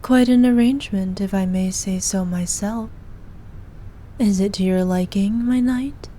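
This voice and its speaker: A woman playing a regal, possessive evil queen who dotes on her knight in an intimate ASMR roleplay, speaking formally and softly, at times in breathy whispers. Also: medium pitch, natural voice. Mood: tender, possessive. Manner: regal, slow, archaic formal speech.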